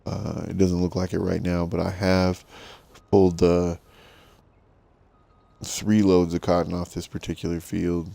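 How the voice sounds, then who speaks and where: A middle-aged man with a rough smoker's voice, giving easygoing, rambling commentary to viewers while playing a farming game. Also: deep voice, flat delivery, measured pace, pauses often, mellow, dry recording. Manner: rambling, easygoing, self-deprecating. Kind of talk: casual gameplay commentary to viewers.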